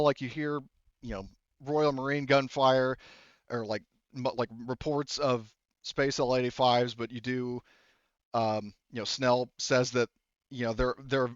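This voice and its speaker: Man, game master, casual tabletop narration, halting, backtracking, dry slightly muffled recording. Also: mid-range voice, a bit bright, clean tone, no room sound. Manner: informal, halting, backtracking mid-sentence.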